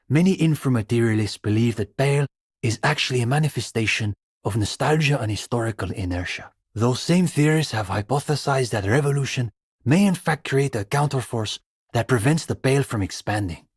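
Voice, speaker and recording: A man's voice: mid-range voice, animated, normal speed, breathy edge, dry recording, clean studio sound. An actor playing an earnest, condescending young male student intellectual in a video game, speaking in an articulate, formal and wry manner. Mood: eager, intellectual. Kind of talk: scripted video game character dialogue.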